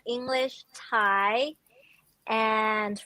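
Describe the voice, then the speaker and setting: Eager female host, slightly high voice. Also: fairly even intonation, drawn-out words, bright, forceful tone, dry recording, rough recording. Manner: eager, rambling questions with restarts. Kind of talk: interview questions.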